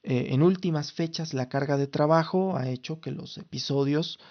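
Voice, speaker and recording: A man's voice: a little high, moderate pace. Formal-sounding Mexican man, lo-fi recording. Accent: Mexican Spanish. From Mexico City. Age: 30s. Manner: formal, lawyerly phrasing with frequent restarts and fillers. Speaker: podcast host. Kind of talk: casual solo podcast talk.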